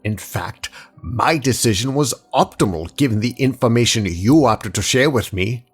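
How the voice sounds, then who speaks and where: A man playing a haughty, calculating alien inspector in an audio drama, speaking in a formal, measured and pedantic manner. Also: medium pitch, animated, breathy edge, soft background music. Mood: defensive, precise. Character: precise, haughty male alien inspector, coolly calculating official.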